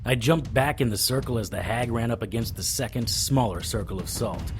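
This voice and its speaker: A man's voice: medium pitch, a little fast, soft background music, natural voice. A man playing a cocky, wisecracking supernatural investigator in an audio drama, hard-boiled in manner. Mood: tense storytelling. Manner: flippant, defiant, hard-boiled. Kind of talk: audio drama acting with first-person narration.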